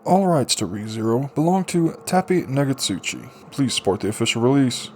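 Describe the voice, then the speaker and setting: A man's voice: medium pitch, expressive, normal speed, a little mellow, slight background noise. A man reading a light novel aloud as dramatic scripted audiobook narration. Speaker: audiobook reader.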